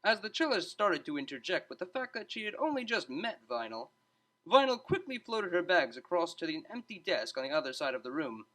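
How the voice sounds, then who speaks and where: A woman narrates a story from a script. Her voice is slightly low and bright, with animated, expressive pitch movement. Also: fairly quick, low-quality recording.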